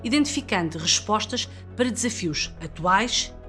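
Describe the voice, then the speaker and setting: A woman's voice: medium pitch, animated, normal speed. A woman narrator with a European Portuguese accent delivers a scripted business report. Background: music.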